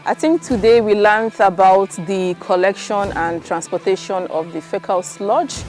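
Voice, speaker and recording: Steady woman. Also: medium pitch, a little slow, faint music behind. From Nigeria. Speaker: aid worker, trainee. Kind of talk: interview.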